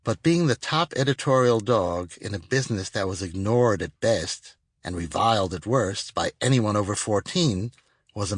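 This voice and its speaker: A man's voice: lowish voice, no echo. A man reading his own nonfiction audiobook as a scripted narration, recorded in a studio.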